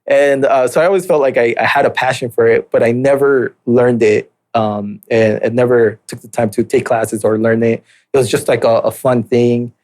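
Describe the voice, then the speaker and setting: A man's voice: medium pitch, fairly even intonation, moderate pace, a little mellow. Laid-back young man, hesitant storytelling. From Mexico, raised in southern California and Utah. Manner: hesitant, many fillers and restarts, laid-back. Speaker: podcast guest. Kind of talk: casual interview storytelling.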